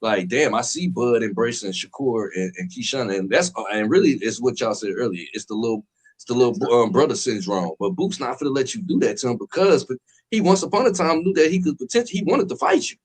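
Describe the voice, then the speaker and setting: A man's voice: mid-range voice, expressive, fairly quick, breathy edge, poor audio. Rambling, slangy man in casual chat. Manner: rambling, slangy, fills with "you know what I'm saying". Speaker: podcast co-host.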